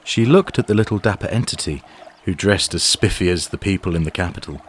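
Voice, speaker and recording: A man's voice: low voice, brisk, a little hiss or hum. A man narrating a scripted fantasy story for an audio drama.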